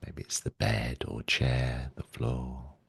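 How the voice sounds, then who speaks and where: Very deep, gravelly man guiding meditation. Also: little pitch movement. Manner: gentle, soothing, with long pauses. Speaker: meditation guide. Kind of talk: guided meditation, spoken slowly and softly.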